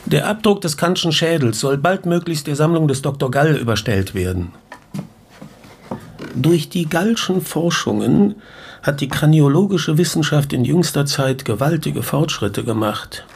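An actor playing a learned professor of skull science, lecturing formally and pedantically in a German radio drama. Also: male voice, on the high side, expressive, a little slow, vocal fry, slight background noise. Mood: pedantic, absorbed.

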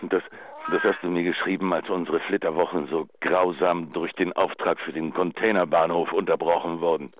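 An actor playing a betrayed, bitter husband leaving emotional, halting phone messages in a German radio drama. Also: male voice, low-pitched, fairly even intonation, natural voice. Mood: wounded, wistful. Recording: dull answering-machine sound, faint noise.

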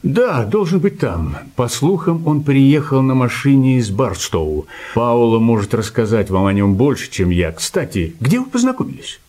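An actor playing a friendly, talkative small-town newspaperman in a radio play, with expressive, theatrical delivery. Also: mid-range voice, normal speed, hardly any pauses. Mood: nostalgic, chatty. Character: friendly talkative small-town newspaperman, male, former big-city reporter. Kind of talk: radio play acting with self-narration. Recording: studio radio play with background music.

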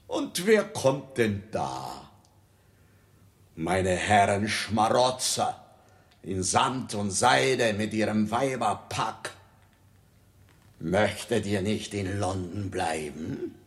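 A contemptuous, melancholic monarch in a 1960s radio play. He savours his words theatrically and slowly, the pitch rising and plunging with royal disdain. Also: full character voice. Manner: pompous, theatrical, savouring each phrase.

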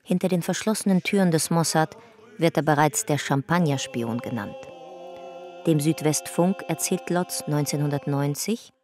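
Female narrator, dark mellow tone, sober, measured, documentary reading. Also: sits low, soft background music. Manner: matter-of-fact, measured.

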